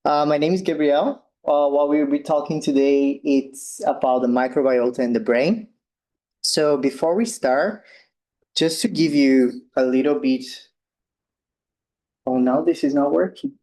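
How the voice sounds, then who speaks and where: A young man from Brazil with a Brazilian Portuguese accent, giving a relaxed science talk as a postdoctoral researcher, with ums and slightly loose non-native phrasing. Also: fairly high pitch, unhurried. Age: young adult. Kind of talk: informal science presentation.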